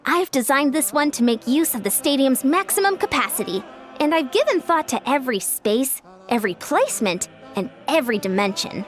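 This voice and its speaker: A woman's voice: high-pitched, sing-song, brisk. A woman playing an upbeat, confident young course designer in a video game, explaining things in a self-assured way. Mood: proud, explanatory. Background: music.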